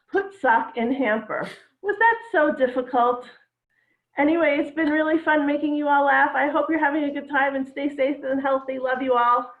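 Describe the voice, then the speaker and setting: A middle-aged woman performing a stand-up comedy routine from home, delivering dry, self-deprecating one-liners. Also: high voice. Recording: echoey, phone-like home recording.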